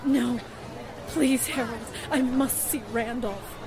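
An actress playing a grief-stricken middle-aged widow and former film star in a melodramatic 1940s radio drama style. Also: female voice, a little high, fairly even intonation, a crowd in the background. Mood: distraught, insistent. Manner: melodramatic, anguished, drawn-out delivery.

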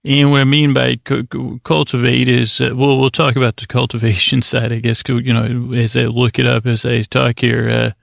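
A man with a heavily creaky, gravelly voice, recorded dry and close. He delivers a rambling bedtime monologue as a podcast host, stumbling, doubling back and drifting into digressions at a middling pitch. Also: flat delivery, no room sound. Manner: halting, meandering, digressive, stammering and self-correcting. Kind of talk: rambling bedtime monologue meant to lull listeners to sleep.

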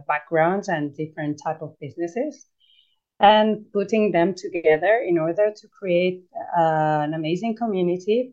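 A woman's voice: fairly low pitch, a little mellow. A woman explaining a program in a conversation, hesitating with frequent fillers. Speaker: entrepreneur guest. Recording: dull, lo-fi audio.

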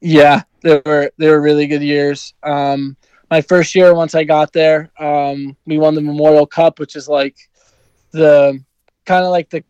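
Young American man, hockey player guest, smooth clear voice, even and flat, easygoing with lots of ums, dry close sound. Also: fairly high pitch, a little slow, no room sound, muffled recording. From New Jersey, USA. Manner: easygoing, many ums and you-knows. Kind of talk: casual interview answers.

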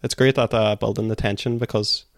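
Low, even-voiced male book podcaster. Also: fairly quick, vocal fry, dry recording, studio quality. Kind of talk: thoughtful book discussion.

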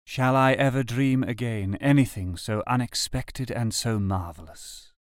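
A man reads old personal letters aloud in a deliberate, expressive and formal way. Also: mid-range voice, no room sound, studio quality. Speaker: reader of historical letters. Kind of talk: dramatic reading of old love letters.

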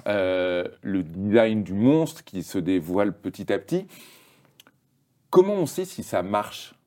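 A male podcast host leading an interview with steady, measured questions and a stream of short nods of agreement. His voice sits rather low and sounds warm and relaxed.

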